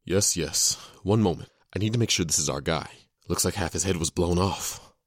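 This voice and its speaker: Callous audio drama doctor , male actor: low, rough-edged voice, matter-of-fact and curt. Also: normal speed, dry recording, studio quality. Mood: cool detachment. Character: callous, businesslike male doctor working with mercenaries.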